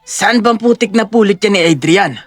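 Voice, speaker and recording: A contemptuous rich snob, played by a woman in an audio drama, taunting and crude. The medium-pitched voice is dry and close, with faint music behind it. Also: animated, moderate pace, dry recording, close to an everyday voice. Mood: taunting contempt. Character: sneering, crude rich socialite deriding the poor.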